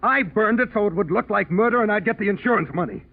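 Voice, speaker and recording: A man's voice: fast, vocal fry. An actor playing a desperate, agitated American man in a 1940s radio drama, urgent and pleading. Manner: urgent, pleading, high-strung. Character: desperate, agitated man protecting the woman he loves. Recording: tinny, phone-like 1940s radio recording.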